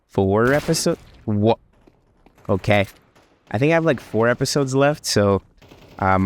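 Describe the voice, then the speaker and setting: A man's voice: fairly low pitch, a little hiss or hum. A young man with a congested voice from a cold, rambling casually about anime with many ums and restarts. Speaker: vlogger. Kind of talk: casual anime talk vlog.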